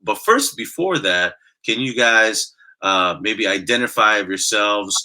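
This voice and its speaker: A man hosting a casual interview, asking questions in a relaxed, rambling way. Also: lowish voice, unhurried, poor audio. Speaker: host.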